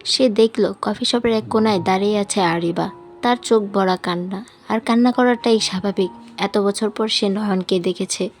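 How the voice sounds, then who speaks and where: Woman, voice-over storyteller, scripted romance narration, mid-range pitch, music behind. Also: moderate pace. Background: background music.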